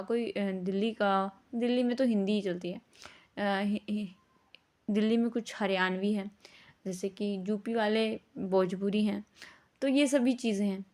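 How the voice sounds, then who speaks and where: A woman's voice: mid-range voice, a little mellow. A woman explains a lesson in an informal, conversational way, mixing in English words and often checking understanding with short tag questions. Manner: mixes English words into speech. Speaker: teacher.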